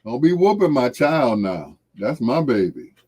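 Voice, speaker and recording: An American man, middle-aged or older, hosts a casual livestream in a relaxed, mellow voice. His pitch swings widely as he chats in a folksy, jovial way. He takes his time, stretching out and repeating phrases. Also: unhurried, breathy edge. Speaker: livestream host. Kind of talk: casual livestream chat with viewers.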